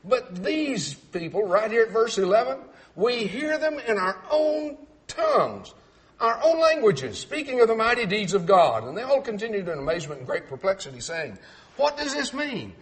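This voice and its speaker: Male preacher, faint texan accent, clipped and enunciated, slightly high voice, animated pitch. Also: normal speed. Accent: Texan, trained toward clipped neutral speech. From Texas. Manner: clipped, clearly enunciated delivery, reads verses aloud with emphasis. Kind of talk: sermon teaching from scripture.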